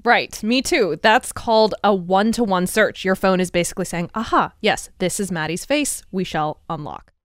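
A woman's voice: medium pitch, lively intonation, moderate pace, hardly any pauses, no room sound, studio quality. An American woman hosting a science podcast in a conversational, explanatory way with emphatic phrasing. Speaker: podcast host and reporter.

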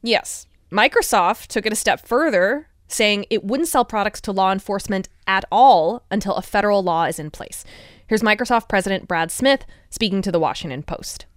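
A woman's voice: medium pitch, expressive, normal speed, no echo, clean studio sound. An American woman hosting a science podcast in a conversational, explanatory way with emphatic phrasing. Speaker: podcast host and reporter.

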